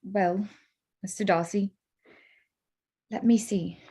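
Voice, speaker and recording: A woman playing a witty, spirited young gentlewoman in a staged reading of a Regency romance, arch and composed. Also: medium pitch, steady tone, takes it slowly, slightly rough. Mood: tentative, hopeful. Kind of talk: staged reading of a period drama.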